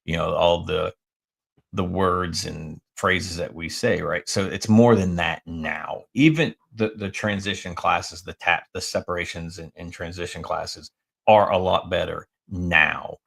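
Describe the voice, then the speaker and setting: An American man co-hosting a podcast, with a very low, gravelly voice full of vocal fry. He talks emphatically in loose chat, tagging "right?" onto his points. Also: fairly even intonation, unhurried, a bit bright. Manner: emphatic, filler-heavy, ends points with "right?". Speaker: podcast co-host. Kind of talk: casual chat.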